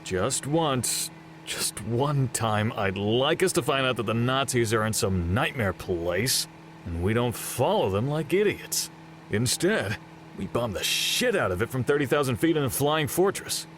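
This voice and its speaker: An actor playing a grumbling but loyal American army sergeant in an audio drama, sardonic and down-to-earth. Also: male voice, mid-range voice, expressive, measured pace, a little hiss or hum, natural voice. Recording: music behind the voice.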